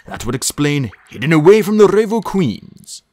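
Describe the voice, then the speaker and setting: An earnest young male dragon from a storybook, played by a woman. He is studious and a little unsure, with a lifted, lively delivery and a thoughtful manner. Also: low-pitched, normal speed, no room sound, pitched above the performer's own voice. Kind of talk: storybook character voice.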